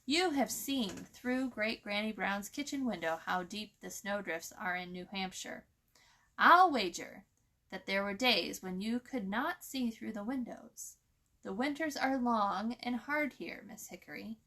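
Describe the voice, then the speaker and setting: A sly male crow from a children's storybook, acted out by a woman, with a bright, forceful voice. He is a smug country gossip who teases and coaxes in a folksy, smirking way. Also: medium pitch, measured pace. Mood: teasing, kindly. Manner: folksy, teasing, smirking persuasion.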